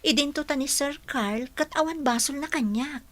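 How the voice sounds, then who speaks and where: A woman's voice: sits high, normal speed, fairly bright tone, dry recording. A woman playing an earnest, respectful young office employee in a radio drama, speaking with some hesitation. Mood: troubled. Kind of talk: Ilocano radio drama acting.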